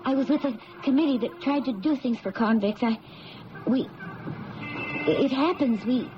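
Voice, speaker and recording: A woman's voice: sits high, normal speed, over music, natural voice. An actress playing an earnest young woman pleading emotionally in an old radio drama. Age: young adult. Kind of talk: 1970s radio drama acting. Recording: muffled, noisy old radio broadcast.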